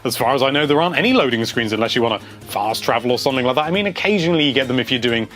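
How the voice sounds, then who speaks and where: A man's voice: mid-range voice, lively intonation, rapid-fire, fairly bright tone, faint music behind. A man hosting a casual video, reading out viewer comments and chatting about them in a rambling, enthusiastic way.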